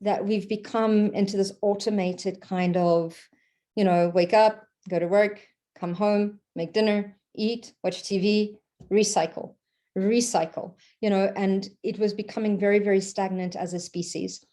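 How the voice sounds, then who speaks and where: A woman, her warm, mellow voice rambling loosely through a spiritual talk. She keeps checking in with a questioning "okay?" and lets fillers and restarts slip in as she thinks aloud. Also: mid-range voice, fairly even intonation, unhurried. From Portugal. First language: Portuguese. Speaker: Reiki practitioner.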